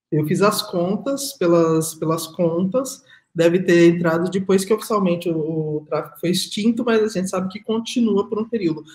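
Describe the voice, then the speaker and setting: A woman's voice: fairly low pitch, darkish tone. A middle-aged woman artist speaking in a panel discussion over a video call, reflective and emphatic, repeating her key phrases. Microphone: video call webcam.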